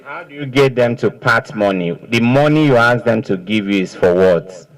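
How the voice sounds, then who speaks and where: A man's voice: mid-range voice, normal speed, poor audio. A man with a Nigerian accent questioning suspects formally as an official, probing and repeating answers back.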